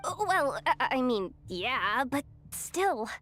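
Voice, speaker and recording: An actress voicing an excitable, anxious young adventurer in a video game, with an informal, emphatic, sometimes hesitant manner. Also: female voice, high voice, takes it slowly, heavily acted. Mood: flustered. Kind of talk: video game character acting.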